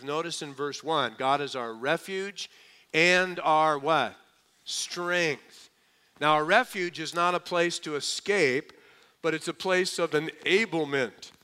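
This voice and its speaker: A male pastor preaching with a bright, forceful and crisp voice that sits a little high. He drops into a quieter aside, then slows right down to stress each key phrase. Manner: lively preaching, mimics skeptics, slows for emphasis. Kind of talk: sermon.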